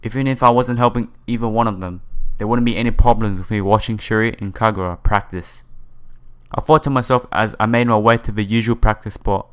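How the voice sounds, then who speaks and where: A man's voice: on the low side, steady tone, brisk, clean tone. A man hosting a visual novel playthrough, reading the text aloud with stumbles and casual, sympathetic asides. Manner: stumbles over read lines, sympathetic asides. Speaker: host of a game playthrough. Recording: music behind the voice.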